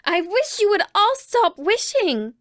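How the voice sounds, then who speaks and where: A woman voicing an earnest, easily alarmed little girl in a storybook, with wide-eyed, drawn-out exclaiming. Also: very high-pitched, very animated, a bit bright, pitched above the performer's own voice, full character voice. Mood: pleading.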